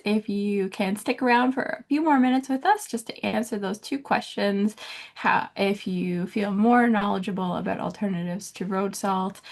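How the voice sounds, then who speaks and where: Mid-pitched woman moderating a webinar. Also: vocal fry. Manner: friendly and thankful, wraps things up. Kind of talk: closing remarks on a video call.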